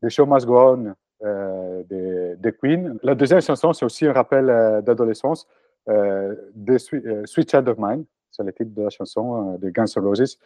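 A man's voice: on the low side, moderate pace, warm tone, no echo. A man in his forties from Italy, a native Italian speaker, answering interview questions with many fillers and restarts. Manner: hesitant, frequent fillers and restarts. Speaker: interview guest, entrepreneur.

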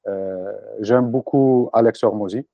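A man's voice: fairly low pitch, a little slow, dry recording. Italian man in his forties, entrepreneur guest; dry, slightly dull recording. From Italy. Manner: hesitant, frequent fillers and restarts. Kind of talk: casual interview answers.

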